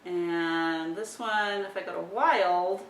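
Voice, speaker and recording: Woman presenter in a roomy, distant recording. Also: slow. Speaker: presenter modelling how to use a communication device. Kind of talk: casual instructional talk, thinking aloud. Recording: roomy, distant mic with rumbling noise.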